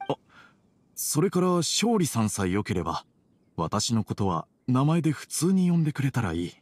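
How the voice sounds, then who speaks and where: A worried middle-aged father in a video game. His husky voice is polite and hesitant, with lively swings in pitch around a middling register. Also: moderate pace. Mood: reserved, unsure. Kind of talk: video game dialogue acting.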